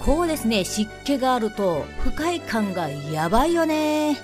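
Female radio host, bubbly and casual, higher voice with very animated intonation, playfully polite wording. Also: normal speed, music in the background.

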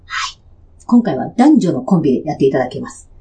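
A woman's voice: low-pitched, very animated, quick pace, dark tone. A woman hosting a radio show in a polite, playful, chatty way from prepared material. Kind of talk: scripted, chatty host monologue.

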